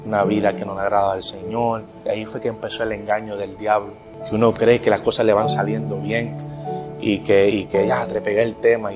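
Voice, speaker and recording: A man from Puerto Rico with a Puerto Rican Spanish accent, earnestly telling his faith testimony and quoting scripture. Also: on the low side. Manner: earnest, quotes scripture, slows for emphasis. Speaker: testimony speaker.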